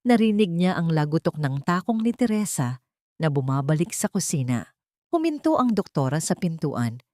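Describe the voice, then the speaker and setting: A woman with a Filipino accent narrating a dramatic audiobook with expressive storytelling. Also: low voice, dry recording, studio quality.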